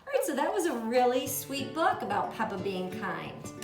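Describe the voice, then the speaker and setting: A woman's voice: on the high side, sing-song, moderate pace, soft background music. A woman presenting a children's story time, reading aloud and giving simple, encouraging explanations. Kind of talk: children's storytime read-aloud and craft instruction.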